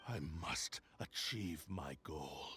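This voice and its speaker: A man voicing a proud, bitter spirit of a cursed blade in a video game, formal and menacing, with a gravelly voice. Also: expressive, slow pace, bright, forceful tone, faint music behind, heavily acted. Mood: angry, defiant. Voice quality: gravelly, low growl. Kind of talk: English video game voice acting.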